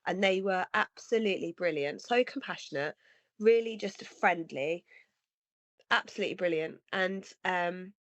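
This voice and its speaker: A woman in her thirties with a cold, sounding slightly nasal and husky, chatting earnestly as a podcast co-host. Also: on the low side, moderate pace, a bit bright, no echo. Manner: earnest, rambling with restarts. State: has a cold, sniffly. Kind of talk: casual conversation. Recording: dry, slightly dull lo-fi sound.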